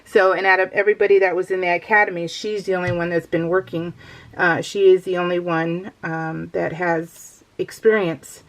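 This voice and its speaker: A woman's voice: lowish voice, little pitch movement, normal speed, poor audio. A middle-aged woman chatting casually as a craft vlogger, giggly and easily sidetracked as she rambles along. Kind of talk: casual chat, rambling vlog.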